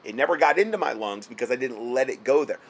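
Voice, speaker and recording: A man's voice: mid-range voice, lively intonation, fast talker, husky. A man who is losing his voice gives casual, repetitive spoken advice late at night. He keeps checking in with the listener and often repeats words as he goes. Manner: repeats himself, frequent "okay?" check-ins. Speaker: health product seller giving advice. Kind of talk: casual instructional talk.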